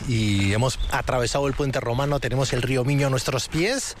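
A man from Bilbao presenting a travel show, chatting in a lively way while walking an outdoor trail. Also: medium pitch, fairly quick, slight background noise. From Bilbao, Spain. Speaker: host.

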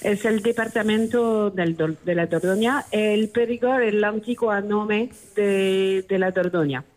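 Slow-speaking woman with a French accent. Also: medium pitch, a little mellow. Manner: halting non-native speech with word searching. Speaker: interview guest, tourism office deputy director. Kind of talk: informative interview answers.

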